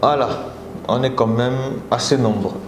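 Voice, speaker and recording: A Congolese man with a Congolese French accent hosting a live motivational talk, coaxing his viewers and often jumping into high exclamations. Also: moderate pace. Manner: animated, coaxing audience, rising into high exclamations. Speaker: motivational speaker and live host. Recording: echoey room with audible background noise.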